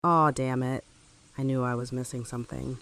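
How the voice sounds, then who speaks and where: A woman playing a hardened, self-controlled housewife in an audio drama, with a gravelly voice and a dry, firm manner. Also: a bass voice, fairly even intonation, moderate pace, a bit bright, no echo. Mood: annoyed, brisk. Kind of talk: fan audio drama acting.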